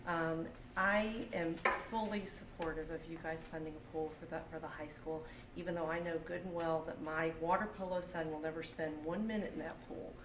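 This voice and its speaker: Low-voiced Texan woman in an echoey room. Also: fairly even intonation, a little fast, hardly any pauses. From Texas, United States. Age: middle-aged. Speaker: parent speaking at public comment. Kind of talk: earnest public comment at a school board meeting. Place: indoor public meeting room. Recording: echoey, noisy meeting room, distant microphone.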